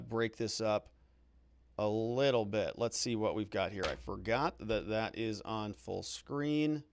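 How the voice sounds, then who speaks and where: A male livestream host talks through his legal commentary in a mid-pitched voice. He thinks aloud, hedging as he goes and repeating key words for emphasis. The sound is close and dry, with no room echo. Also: smooth.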